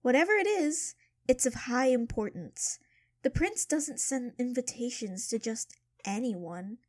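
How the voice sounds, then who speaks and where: A woman's voice: fairly high pitch, measured pace, no room sound, clean studio sound. A woman playing a motherly, kindly bossy female servant in an audio drama. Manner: warm, motherly, lively.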